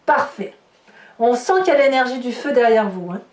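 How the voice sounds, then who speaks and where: High-voiced woman in an echoey room. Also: animated, moderate pace, darkish tone. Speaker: tarot reader hosting a horoscope channel. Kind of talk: informal tarot reading narrated to viewers. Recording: roomy echo, distant microphone.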